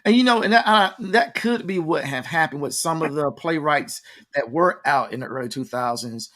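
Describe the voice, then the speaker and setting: Higher-voiced man interviewing. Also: moderate pace, bright, forceful tone. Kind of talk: casual interview.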